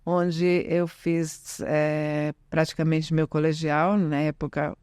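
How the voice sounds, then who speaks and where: A woman's voice: deep voice, little pitch movement, slow, darkish tone, clean tone, no echo, clean studio sound. An Italian-born woman whose first language is Italian, talking in a chatty way as an interview guest, with frequent tag questions and restarts. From Italy. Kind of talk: casual conversational interview.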